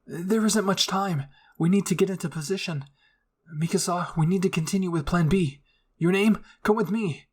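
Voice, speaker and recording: A man's voice: high-pitched, normal speed, husky, pitched above the performer's own voice. A man voicing an earnest, anxious teenage boy soldier in an anime story. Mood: urgent. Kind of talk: anime fan-fiction roleplay.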